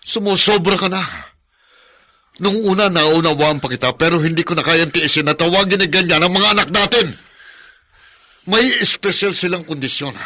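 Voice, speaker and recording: A man's voice: high voice, normal speed, sharp tone. An actor playing an earnest, burdened husband and protective father in a Filipino radio drama, with a pleading manner. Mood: indignant.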